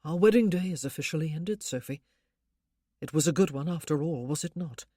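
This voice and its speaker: A woman's voice: sits low, animated, fairly quick, warm tone, dry recording, studio quality. A woman playing a tender, lonely new husband. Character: newly married lonely gentle man. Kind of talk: audiobook character voice.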